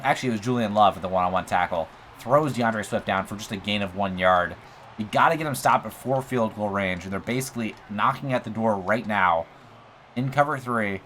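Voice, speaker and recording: A young man giving casual play-by-play commentary over a football video game, excitable, with his voice going a little hoarse. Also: fairly low pitch, slight background noise. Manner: excitable, talks through plays, says bro and like. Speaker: gaming YouTuber. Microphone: USB desk mic.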